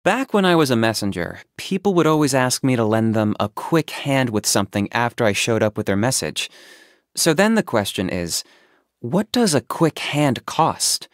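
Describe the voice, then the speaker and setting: An actor voicing a stoic, businesslike young male saurian hunter in a video game, speaking in a measured, matter-of-fact deadpan. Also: mid-range voice, no room sound, studio quality, lightly acted. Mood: calm, dry. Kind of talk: scripted video game character monologue.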